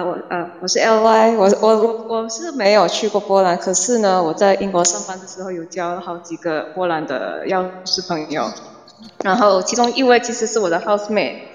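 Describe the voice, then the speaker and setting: Hesitant woman in an echoey space. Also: mid-range voice. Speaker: audience participant asking a question. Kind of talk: casual anecdote and question. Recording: echoey, long reverb.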